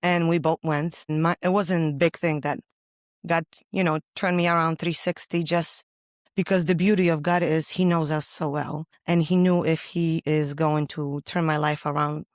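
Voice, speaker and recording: A woman with a deep voice, a podcast guest sharing her faith story in an earnest, halting way with many pauses. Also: fairly even intonation, no room sound, studio quality. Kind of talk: personal faith testimony in conversation.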